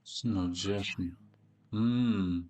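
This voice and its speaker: A man with a fairly low voice hosts a casual reaction video. He rambles and doubles back on his words, thinking aloud in a chatty, informal way. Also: very slow, darkish tone. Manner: hesitant, rambling, repeats words.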